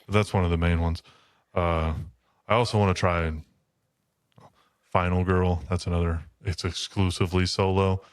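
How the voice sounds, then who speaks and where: A man's voice: sits low, level tone, normal speed, no room sound, studio quality. A man chatting casually as a podcast co-host in a blunt, matter-of-fact way. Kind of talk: casual chat.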